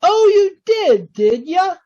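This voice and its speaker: An actor playing a vengeful, taunting crook in slangy 1940s radio style. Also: male voice, very high-pitched, big pitch swings, slow pace, a little mellow, heavily acted. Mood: accusing, angry. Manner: slangy gangster talk, taunting. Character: menacing, double-crossed male crook, coldly vengeful. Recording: dull, lo-fi video-call audio.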